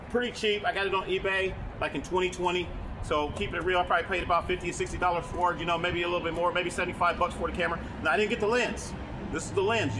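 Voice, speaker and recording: Male vlogger, loud and self-correcting, quick delivery; phone recording with noise behind. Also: fairly high pitch. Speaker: vlogger and camera collector. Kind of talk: casual on-camera show-and-tell. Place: his broadcaster museum room. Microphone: phone camera, no microphone.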